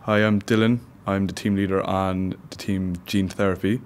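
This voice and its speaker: A man, a team leader, describing his game in halting, thoughtful answers. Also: deep voice, level tone, slight background noise. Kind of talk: casual interview answers.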